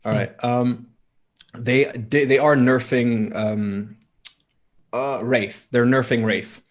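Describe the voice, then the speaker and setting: A man's voice: mid-range voice, steady tone, slow pace. A man with a mid-range voice chats on a gaming podcast. He explains game changes eagerly, hands out tips and keeps the talk moving. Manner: chatty, explains eagerly, gives advice. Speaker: podcast co-host. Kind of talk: casual gaming podcast chat.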